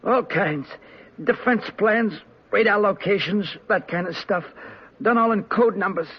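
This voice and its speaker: Bleeding crook, high and husky. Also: male voice, unhurried, full character voice. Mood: cowed. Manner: pleading, panicky. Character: wounded male crook, whiny and scared. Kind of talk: 1950s radio drama acting. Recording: muffled old radio broadcast.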